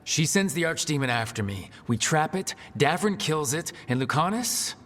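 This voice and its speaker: An actor playing a resolute, wry young leader of a band of fantasy heroes in a video game. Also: male voice, fairly high pitch, expressive, normal speed, fairly bright tone, slight background noise. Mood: determined. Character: resolute, wry young male fantasy hero leading allies. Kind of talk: dramatic fantasy game acting. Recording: reverberant hall, faint game music.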